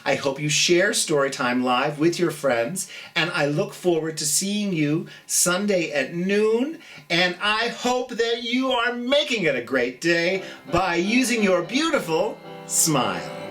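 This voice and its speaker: An American storytime host reading a picture book to children and chatting in a gentle, theatrical way. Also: mid-range voice, lively intonation, takes it slowly, distant mic, faint music behind.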